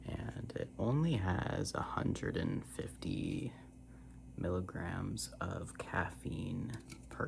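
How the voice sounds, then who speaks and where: Soft spoken asmr rambler, super gravelly fry, slow n meandering, tapping noise behind. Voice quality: soft, low, creaky voice. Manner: casual, meandering, trailing off into near-whispers. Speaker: ASMR content creator. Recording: noisy recording with tapping and humming. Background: hum, tapping and scratching sounds.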